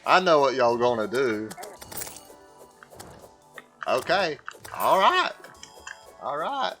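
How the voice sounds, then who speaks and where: A man's voice: mid-range voice, wildly swooping pitch, slow, bright, forceful tone, voice pushed up, exaggerated voice. Man putting on a folksy, simple Southern drawl. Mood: flustered. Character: slow, simple, earnest Southern man, film-character impression. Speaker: video game streamer. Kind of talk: livestream gaming chat held in a put-on voice. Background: music.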